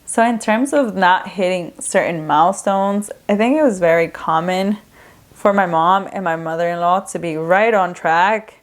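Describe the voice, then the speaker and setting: A woman's voice: lowish voice, normal speed. A young woman from Ecuador hosting a podcast, talking in a candid, casual way. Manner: chatty, candid, with frequent fillers. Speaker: podcast host. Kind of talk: casual solo podcast talk.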